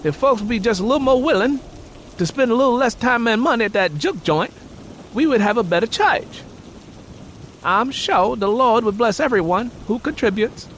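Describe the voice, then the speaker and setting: A man doing a high, exhorting country preacher. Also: animated, moderate pace, slight background noise, heavily acted. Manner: loud, exhorting, earnest. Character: zealous male country preacher addressing his congregation.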